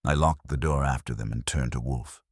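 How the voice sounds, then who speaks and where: A man's voice: extremely low pitch, moderate pace, husky, no room sound, studio quality. A man reading a first-person detective story as a scripted narration. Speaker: audiobook narrator.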